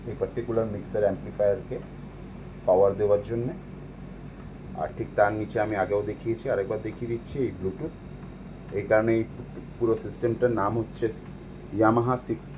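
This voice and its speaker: Low-pitched Bengali man explaining, noisy room. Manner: explanatory, mixes in English technical terms. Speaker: presenter demonstrating audio equipment. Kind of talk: informal product walkthrough, explaining step by step.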